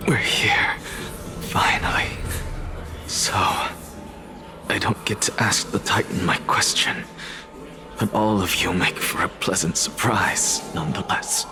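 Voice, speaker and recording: An actor playing a defiant, curious scholar who muses sardonically to himself in a dramatic video game style. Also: male voice, low-pitched, steady tone, slow pace, long pauses, in a whisper. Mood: breathless wonder. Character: defiant, curious scholar man journeying through land of the dead. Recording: game dialogue over prominent music.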